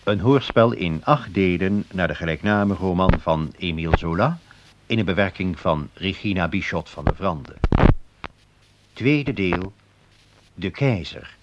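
Deep-voiced man announcing, very hissy recording. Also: lively intonation, unhurried. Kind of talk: formal scripted radio drama announcement. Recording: very hissy, muffled old radio recording.

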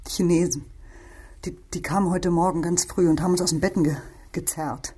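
Very husky, low-voiced brothel madam. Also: female voice, steady tone, fairly quick, dark tone. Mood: frightened. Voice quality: husky, breathy. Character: brothel madam, sultry saleswoman, frightened when pressed. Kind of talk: German radio crime drama acting.

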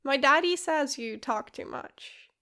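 A woman voicing an excited, cheeky little boy in a read-aloud story. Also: unhurried, exaggerated voice. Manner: high, bouncy and eager.

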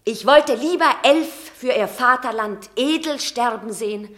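A woman's voice: on the high side, a little slow, fairly bright tone. An actress playing a proud, fierce Roman mother in a classical radio drama, declaiming with passion and emphasis. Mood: fierce pride. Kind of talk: classical stage-style radio drama acting.

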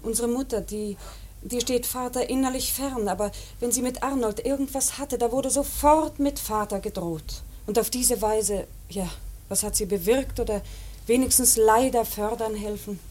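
An actress playing an earnest, troubled young woman in grief, halting and intense, in a German radio play. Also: on the high side, dark tone, natural voice. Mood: brooding. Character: earnest, troubled grieving daughter and sister.

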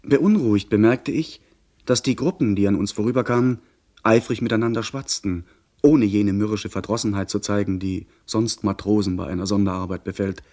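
A man playing an earnest young gentleman who narrates his pirate adventure in measured, literary German radio-drama style. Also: on the low side, lightly acted. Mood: watchful, recounting.

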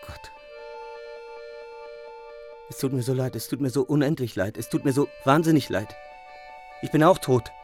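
A man acting an anguished, remorseful figure in a radio play. He pleads insistently in a mid-range voice, with soft guitar music behind him. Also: mid-range voice, moderate pace, long pauses, close to an everyday voice. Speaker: radio drama actor. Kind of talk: German radio drama acting.

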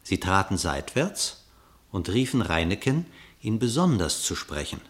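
A man narrating a radio play in literary verse, with a measured storytelling delivery. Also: low voice, sing-song.

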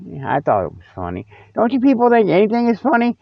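Male comic host, fairly high voice, folksy and meandering, dry lo-fi sound. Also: wildly swooping pitch, fast talker, gravelly, no room sound. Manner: folksy, meandering, repeats himself. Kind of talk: rambling, casual mock news monologue. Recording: dull, dry lo-fi audio.

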